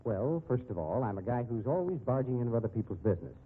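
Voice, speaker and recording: An actor playing a brash, wisecracking private detective, blunt but caring, in 1950s radio drama style on an old muffled recording. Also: male voice, mid-range voice, big pitch swings, quick pace, breathy edge. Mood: blunt, challenging. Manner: slangy, blunt, needling.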